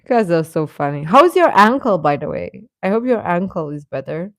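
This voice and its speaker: A woman's voice: lowish voice, big pitch swings, normal speed, dry recording, studio quality. A woman hosting a livestream, chatting casually and playfully with her viewers. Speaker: livestream host. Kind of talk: casual chat with live viewers.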